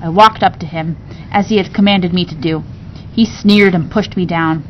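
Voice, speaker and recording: A woman reading a classic novel aloud in an expressive storybook narration style. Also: normal speed. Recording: dull recording with low rumbling noise.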